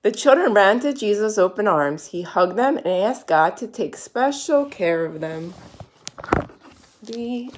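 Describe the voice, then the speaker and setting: A woman reading picture books aloud to a young child as a storyteller, pausing to explain things simply. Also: mid-range voice, animated, a little hiss or hum. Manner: simple explanations to a child between read passages.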